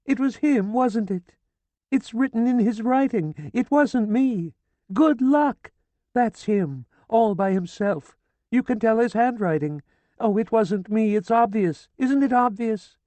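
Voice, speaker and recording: A man's voice: animated, a little slow, mellow, dry recording. A man reading an audiobook, voicing a hysterical older woman who wails, pleads and screams in grief. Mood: pleading. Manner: shrill, frantic pleading and screaming. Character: hysterical grieving older woman, wailing and shrieking. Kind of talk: audiobook character voice.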